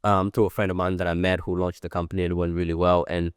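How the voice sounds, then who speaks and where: Low, smooth-voiced man in his thirties. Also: little pitch movement, fast talker, no room sound, studio quality. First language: French. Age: 30s. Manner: chatty, rambling, many fillers. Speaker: guest, creative director. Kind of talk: casual interview.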